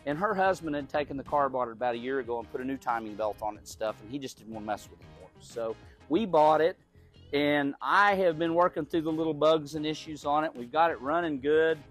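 A middle-aged man from Oklahoma talks through his car collection in a relaxed, folksy way. He often repeats a word while he gathers his thoughts, and his voice sits a bit high for a man. Faint music plays under the recording of his garage tour. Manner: folksy, unhurried, repeats words while thinking. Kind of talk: casual show-and-tell. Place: large garage.

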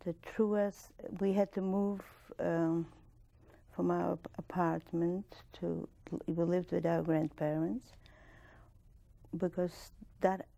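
A woman in her sixties from Poland with a Polish accent, recalling her past at a steady pace in a recorded oral history interview, with restarts and soft laughs, on a lapel mic in a studio. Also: on the low side, gravelly, low-quality recording. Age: 60s. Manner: measured, plain-spoken, with soft laughs and restarts. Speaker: interviewee, Holocaust survivor. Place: studio with dark curtain backdrop.

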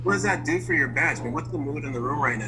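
A man asking questions as a sports reporter, in a halting, conversational way. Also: fairly high pitch, background noise. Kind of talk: asking press conference questions.

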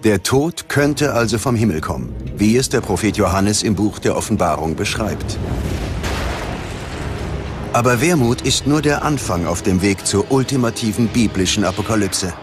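A man narrating a scripted documentary in a dramatic, ominous and measured way. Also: on the low side, expressive. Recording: music under the voice. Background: prominent background music.

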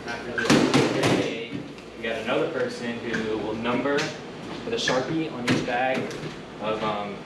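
A man working in a fish cleaning room, calmly explaining each step of the process. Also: mid-range voice, a little slow, reverberant, recorded from a distance, very noisy. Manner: matter-of-fact, step-by-step explaining. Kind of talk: casual walkthrough.

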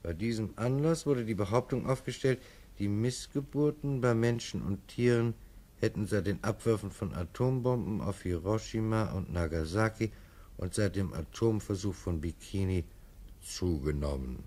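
A man's voice: on the low side, animated, normal speed, warm tone. A man reading interludes in a matter-of-fact, reportlike tone for a radio play. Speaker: narrator of interludes. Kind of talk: scripted radio narration, news-like.

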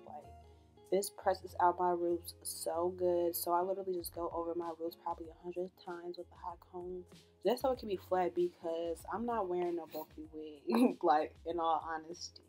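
A woman in her twenties with a dry, deadpan voice, chatting casually through a hair tutorial as a beauty vlogger. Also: lowish voice, moderate pace. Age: 20s. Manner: monotone, deadpan delivery. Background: background music.

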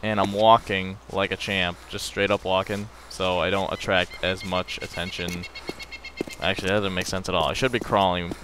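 A young male gamer chatting over voice call while he plays. His voice is bright, pushy and a bit low, and he rambles, jokes crudely, and jumps into excited bursts. Faint noise sits under the headset audio. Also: moderate pace. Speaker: gameplay channel host. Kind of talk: casual gaming commentary with a friend.

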